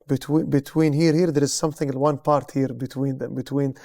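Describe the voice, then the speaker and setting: Hesitant man, mellow tone. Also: medium pitch, flat delivery, a little fast. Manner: hesitant, repeats words. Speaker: co-host. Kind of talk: casual chat on a TV show.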